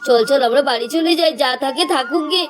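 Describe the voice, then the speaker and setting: Woman doing a timid, fast-talking young boy. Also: high voice, noisy background, pitched below the performer's own voice, lightly acted. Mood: frightened. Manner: nervous, pleading. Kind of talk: children's doll-play cartoon story.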